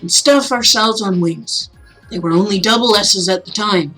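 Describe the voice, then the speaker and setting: Lady doing an older guy mayor in a visual novel, folksy speechmaker, jokey n encouraging, bit husky. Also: medium pitch, normal speed, fairly bright tone, soft background music, natural voice, low-quality recording. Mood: folksy, joking. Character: affable older male mayor giving a folksy, rousing speech.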